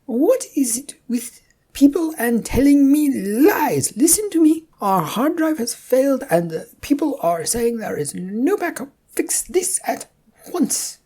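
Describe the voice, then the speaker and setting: A woman's voice: sits high, very animated, measured pace, mellow, breathy edge, pitched above the performer's own voice, heavily acted. A woman voicing an irate, bossy male office boss in an audiobook-style story, with a nasal, demanding delivery. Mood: furious. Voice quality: annoying nasal voice. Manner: demanding, exasperated, blustering.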